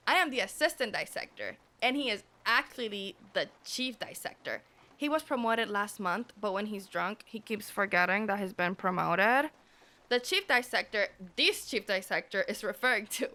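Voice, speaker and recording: A woman playing an excitable, defensive, tipsy assistant dissector in a radio play. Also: medium pitch, moderate pace. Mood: indignant, explaining.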